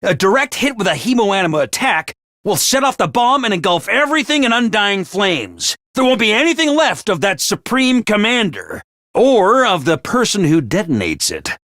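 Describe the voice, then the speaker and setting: A man's voice: high-pitched, very animated, moderate pace, a bit bright, no room sound. A man voicing a chirpy, scheming male mascot creature who makes cheery announcements and turns snide and callous. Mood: sly. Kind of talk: video game voice lines. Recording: dry studio recording.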